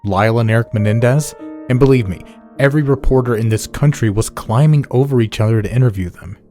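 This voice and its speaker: A man plays a loudmouthed veteran newspaper chief in an audio drama, cocky and dismissive. His voice is dark and mid-pitched. He talks with sardonic brashness over soft music. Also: fast talker, lightly acted. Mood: brash, dismissive. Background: music bed.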